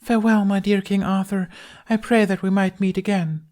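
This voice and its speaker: A man's voice: high-pitched, flat delivery, moderate pace, a little mellow, pitched above the performer's own voice, lightly acted. A man voicing a theatrical young woman who declaims grandly as queen and villain in a storybook stage play. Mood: pleading, regal.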